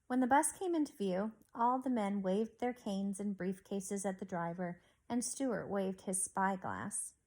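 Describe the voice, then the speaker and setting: A woman teacher reading a storybook aloud to young children in an expressive way, recorded at home. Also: mid-range voice, a little slow, hardly any pauses. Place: on a couch at home.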